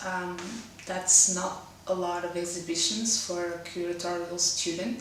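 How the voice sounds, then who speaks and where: A young Portuguese woman hosts her own web series in her second language, her Portuguese accent clear as she picks her words with small pauses. Her voice sits a little low. The sound is very echoey, with the mic set well back from her. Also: slight background noise. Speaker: host. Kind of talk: casual web series presenting. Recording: roomy echo, mic at a distance.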